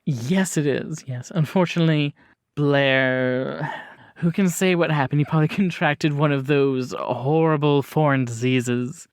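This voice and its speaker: A man's voice: on the high side, a little slow, dry recording, clean studio sound, lightly acted. A man voicing a genteel, haughty society wife in a tabletop game, with airy, affected politeness. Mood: airy, dismissive.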